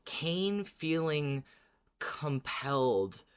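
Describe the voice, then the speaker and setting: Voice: medium pitch, very animated, clean tone. Pemandu siniar bertempo pelan, bicara tersendat. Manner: hesitant, halting, agreeable. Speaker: podcast co-host discussing a fiction series. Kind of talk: casual reflective chat.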